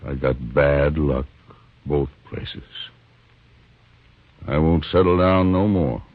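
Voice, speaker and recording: An American man playing a grieving, luckless homesteader in a western radio drama, speaking plainly and gravely in halting rustic phrases. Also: rumbling low, dry recording, heavily acted. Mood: bitter, resigned. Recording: muffled, narrow-band 1950s radio recording.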